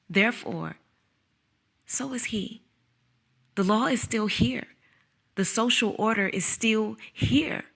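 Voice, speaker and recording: An actress playing a young woman schoolteacher who narrates her inner conflict in a soft, reflective way. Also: on the low side, vocal fry, exaggerated voice. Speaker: narrator and lead character. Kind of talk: audio drama acting.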